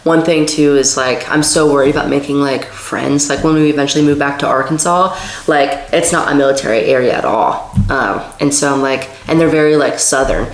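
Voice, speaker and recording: A woman co-hosting a podcast in casual chat, sounding nasal from a cold, with a raspy, blunt, filler-heavy way of talking. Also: rumbling low, roomy sound, poor audio. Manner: blunt, fast filler-heavy talk with many "like"s. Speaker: podcast co-host.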